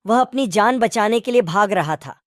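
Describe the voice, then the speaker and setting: A woman voicing a sensible male jackal in a children's animated story, questioning and reasoning as he talks. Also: medium pitch, moderate pace, dry recording, clean studio sound, close to an everyday voice. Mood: explanatory.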